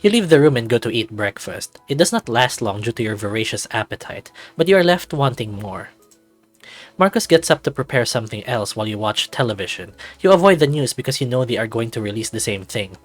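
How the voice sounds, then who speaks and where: A narrator reading a visual novel aloud in an expressive, playful way, with bits of casual commentary. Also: mid-range voice, brisk. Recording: dry recording with music behind.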